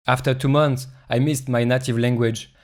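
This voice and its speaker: Flat-voiced man narrating. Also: mid-range voice, moderate pace. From Europe. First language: French. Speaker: travel vlogger. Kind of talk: reflective scripted voice-over narration.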